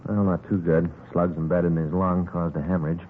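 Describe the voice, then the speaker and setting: An American actor playing a methodical, matter-of-fact police detective in a 1950s radio drama, in clipped, businesslike sentences. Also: male voice, sits low, level tone, fairly quick, close to an everyday voice. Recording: noisy, tinny 1950s radio recording.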